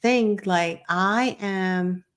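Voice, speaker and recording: Slow, unscripted woman. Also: mid-range voice, clear, resonant tone. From Syria. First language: Arabic. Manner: loose, rambling, frequent fillers and restarts. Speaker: host. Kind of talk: unscripted live talk.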